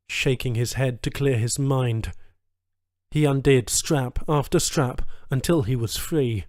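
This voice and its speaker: A man narrating a science fiction story in a deliberate, suspenseful, dramatic reading style. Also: medium pitch, mellow, no room sound, clean studio sound. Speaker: audiobook narrator.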